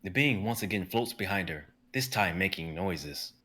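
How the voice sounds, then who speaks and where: A young man narrating stage directions in a live script reading, brisk and vivid, with the odd stumble. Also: sits low, expressive, pushed and bright. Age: young adult.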